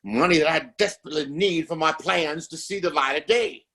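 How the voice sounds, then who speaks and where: A man's voice: a little high, brisk, sharp tone. An actor playing a domineering, contemptuous father and town boss in a Western audio play, speaking in a formal, commanding way. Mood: scolding. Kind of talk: Western audio drama acting.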